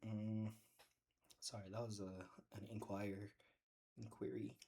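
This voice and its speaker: A man with a deep voice chatting casually in a gaming vlog, rambling and joking with bursts of excitement. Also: takes it slowly, gravelly, slight background noise. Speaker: gaming video creator.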